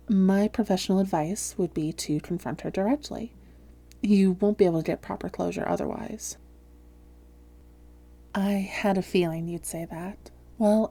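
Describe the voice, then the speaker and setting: A woman playing a calm female vampire therapist in a roleplay audio, speaking softly, gently and in a measured, reassuring way. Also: mid-range voice. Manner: measured, gentle, reassuring, breathy hushed phrases. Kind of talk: soft-spoken roleplay audio, therapist addressing listener.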